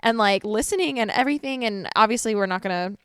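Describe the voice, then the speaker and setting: A woman's voice: medium pitch, animated, quick pace, fairly bright tone, clean tone, no echo, clean studio sound. A young woman co-hosting a podcast, chatting casually and laughing often while lying on a couch at home. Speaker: podcast co-host. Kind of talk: casual chat.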